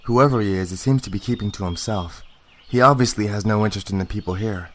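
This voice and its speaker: Man acting a lowish, restrained police detective. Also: fast, lightly acted. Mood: wary. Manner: restrained, matter-of-fact. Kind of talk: amateur radio drama acting. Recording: clear background noise.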